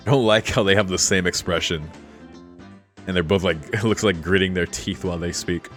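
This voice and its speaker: Deep-voiced man doing casual game commentary. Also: fairly even intonation, a little fast, fairly bright tone, faint music behind. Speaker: game streamer reading along. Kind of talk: casual let's play commentary and read-aloud.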